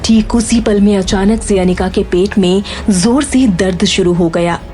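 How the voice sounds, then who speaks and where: A woman's voice: medium pitch, a little slow, mellow, soft background music. A woman giving scripted, dramatic narration of an audio story as its narrator.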